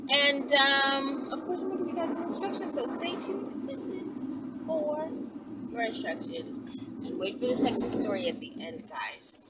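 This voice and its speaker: A woman's voice: high voice, animated, moderate pace, very noisy. A woman host talking casually to her viewers, sassy and digressive, interjecting comments as she reads out messages. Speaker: host of her own video channel.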